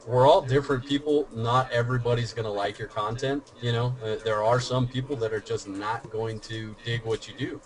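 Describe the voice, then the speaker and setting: A man with a mid-range voice talks earnestly and steadily in casual podcast chat. He explains his views like a mentor, calm and measured. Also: medium pitch, rough recording. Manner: earnest, advice-giving, steady. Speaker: YouTube commentator.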